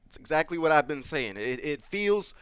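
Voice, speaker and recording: A man's voice: a little high, expressive, moderate pace, a bit bright, clear, resonant tone, dry recording. A man playing a brash, profane male college football coach who brags and swears while talking to an interviewer. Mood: boastful. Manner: boastful, crude, keeps addressing the host by name. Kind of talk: improvised comedy interview sketch.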